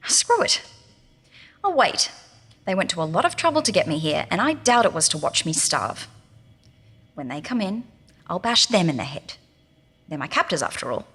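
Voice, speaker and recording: Tough, sardonic captive; husky, animated, scared underneath, set in long hollow reverb, for audio fiction. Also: female voice, lowish voice, full character voice. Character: defiant, sardonic captive young woman, scared but tough. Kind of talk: audio drama acting.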